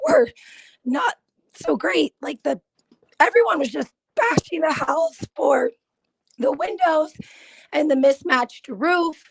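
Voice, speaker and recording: Hoarse high-voiced woman hosting a live stream. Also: a bit bright, poor audio. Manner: chatty, confiding, with frequent throat clearing. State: hoarse from laryngitis. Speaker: gossip channel host. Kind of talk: casual live-stream chat.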